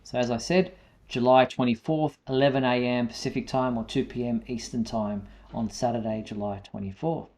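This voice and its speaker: A man hosting a live fan news show, announcing items in a halting way with frequent fillers. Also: medium pitch, fairly even intonation, a little mellow. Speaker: show host.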